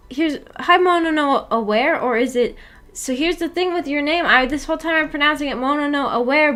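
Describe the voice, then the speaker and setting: A woman with a fairly high voice hosts a casual livestream. She rambles in a giggly, self-deprecating way as she reads and answers comments from viewers. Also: fairly bright tone. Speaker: livestream host of a visual novel book club.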